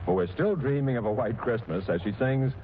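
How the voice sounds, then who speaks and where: Wry American radio host, lively pitch, old recording. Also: male voice, fairly quick. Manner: dry, wry. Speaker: comedy show host and narrator. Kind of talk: wry 1940s radio comedy narration. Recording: noisy, tinny 1940s radio recording.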